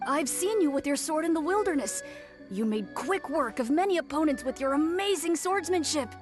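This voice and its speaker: A woman's voice: high voice, lively intonation, moderate pace. A woman voicing a timid little childlike yokai who is polite and stammering, in an English game dub. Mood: nervous awe. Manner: shy, stammering, deferential. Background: faint music.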